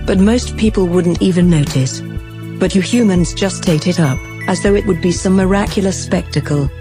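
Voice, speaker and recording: A woman's voice: on the low side, lightly acted. A woman voicing a bored, condescending immortal artificial intelligence in a sci-fi comedy, speaking precisely and without emotion. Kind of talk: scripted sci-fi comedy monologue and drama.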